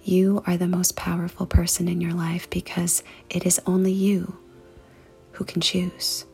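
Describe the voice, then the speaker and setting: A woman leading a guided meditation and prayer in a soft, hushed, breathy and creaky voice, speaking deliberately with pauses. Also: lowish voice, monotone. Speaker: podcast host and meditation guide. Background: music.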